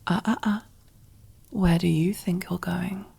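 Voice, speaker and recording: Husky, whispering female mob boss, acted by a woman. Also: lowish voice, fairly even intonation, dark tone, heavily acted. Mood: seductive, commanding. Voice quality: breathy, husky near-whisper. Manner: slow, deliberate, teasing, condescending endearments. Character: commanding, possessive, silkily menacing female mob boss. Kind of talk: ASMR roleplay, close whispered character acting.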